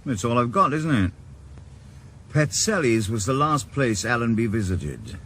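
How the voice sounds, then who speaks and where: An actor playing a stubborn, dry-witted retired detective in a 1980s radio drama, with a Welsh accent. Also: male voice, medium pitch, very animated, unhurried, a bit bright, full character voice. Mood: dogged. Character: dogged retired detective, middle-aged man, stubborn. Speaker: retired detective turned private investigator.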